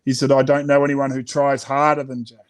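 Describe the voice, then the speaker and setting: A man's voice: medium pitch, monotone, brisk. Earnest Australian man in conversation. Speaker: children's author, interview guest. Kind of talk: casual conversational interview.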